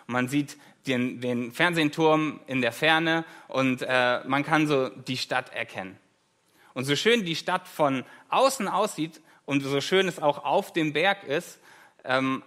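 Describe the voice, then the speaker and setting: A man's voice: a little high, fairly bright tone. A German man in his thirties, a guest preacher giving a conversational sermon with light self-deprecating humor, in a church.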